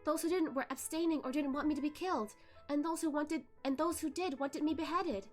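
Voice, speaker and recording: A woman voicing a sorrowful young female ghost, telling her tale in a halting, plaintive way for a story reading. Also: high voice, fairly even intonation, soft background music, pitched above the performer's own voice, close to an everyday voice. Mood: grieving.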